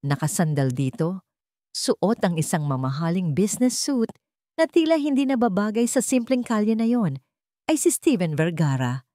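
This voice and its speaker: A woman's voice: medium pitch, sing-song, moderate pace, dark tone, no echo, clean studio sound. A woman reading scripted dramatic narration of a romance story as its storyteller.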